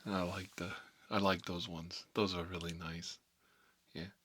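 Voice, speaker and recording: A man's voice: low voice, little pitch movement, unhurried, fairly bright tone, breathy edge, exaggerated voice. A man playing a hot-headed, sentimental mob boss in an improvised comic monologue, talking in a rambling, repetitive, colloquial way. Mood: nostalgic rambling.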